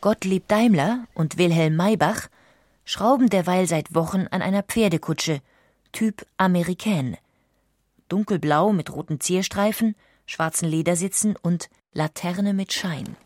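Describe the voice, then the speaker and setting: Female documentary narrator, low register, dry recording, scripted delivery. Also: unhurried, a little mellow, dry recording.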